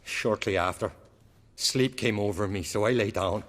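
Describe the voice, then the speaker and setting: A grim, tormented jarl speaks in solemn, old-fashioned lines, voiced by a man. His voice sits a little low and trails off into a long reverb, as if in a great hall. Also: normal speed, natural voice. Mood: grim, haunted. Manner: solemn, archaic, fatalistic speech.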